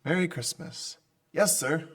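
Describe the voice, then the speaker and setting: A man's voice: a little high, a little slow, natural voice. A man playing a kindly, dutiful clerk, deferential and apologetic, in old-time radio drama style. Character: meek, kindly, dutiful underpaid male clerk.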